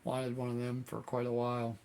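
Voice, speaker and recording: A man, hosting his model-building channel in a relaxed, rambling way, slightly hoarse as he is losing his voice. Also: medium pitch, fairly quick. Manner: relaxed, rambling, many fillers. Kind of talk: casual chat to viewers.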